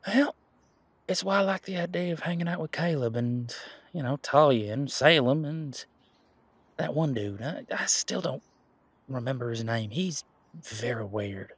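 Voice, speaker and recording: Guy playing easygoing werewolf bodyguard in audio rp, medium pitch, bit husky, folksy halting casual talk. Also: lively intonation. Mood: casual, musing. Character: young male werewolf bodyguard, protective, easygoing, bashful. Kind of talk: roleplay audio drama, conversational monologue to listener.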